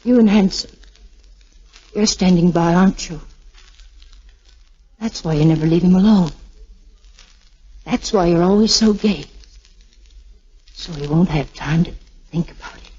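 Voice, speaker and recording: An actress playing an earnest young woman with a husky voice in a 1940s radio drama. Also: on the low side, a little slow, pauses often, warm tone. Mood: shaken. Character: earnest, perceptive young woman hiding worry. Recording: dull old radio broadcast, faint music.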